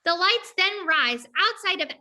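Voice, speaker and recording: A woman's voice: extremely high pitch, animated, measured pace, bright, forceful tone. A woman reading stage directions as scripted narration for a play reading.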